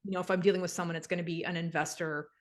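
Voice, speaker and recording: A woman's voice: on the low side, flat delivery, racing. A woman from Canada, a guest on a podcast, talking casually and thinking aloud with many fillers. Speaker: guest real estate investor. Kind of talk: casual interview talk.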